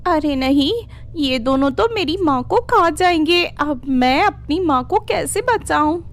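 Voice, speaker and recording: A woman's voice: high-pitched, lively intonation, unhurried, pitched above the performer's own voice. A woman voicing an anxious young village girl devoted to her mother, worried and exclamatory.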